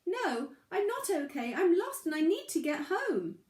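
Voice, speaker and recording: A woman's voice: very high voice, big pitch swings, measured pace, reverberant, voice pushed up, heavily acted. A woman voicing a small lost male pebble in a children's story, polite but stubbornly homesick and pleading. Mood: upset, stubborn. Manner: pleading, insistent.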